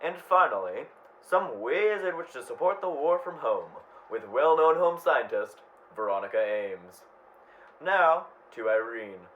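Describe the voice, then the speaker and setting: A man's voice: mid-range voice, sing-song, a little slow, fairly bright tone. A man playing an earnest 1940s American radio news anchor in a student radio drama. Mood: formal, announcing. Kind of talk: 1940s radio newscast acting. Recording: dull lo-fi audio with noise.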